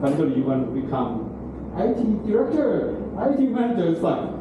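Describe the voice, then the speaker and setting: A man's voice: high-pitched, big pitch swings, brisk, lo-fi sound. A middle-aged man giving a university lecture in broken English, checking in often with "okay?" and "right?". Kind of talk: classroom lecture. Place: large classroom. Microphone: far room camera. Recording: very echoey classroom from far off, noisy.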